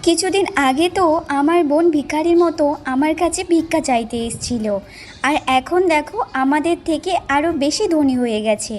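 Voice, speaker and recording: A woman's voice: high voice, moderate pace, natural voice. A woman voicing an envious, greedy village wife in a fairy-tale cartoon, with a complaining, questioning manner. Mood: jealous. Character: envious, greedy younger village wife, curt and self-serving.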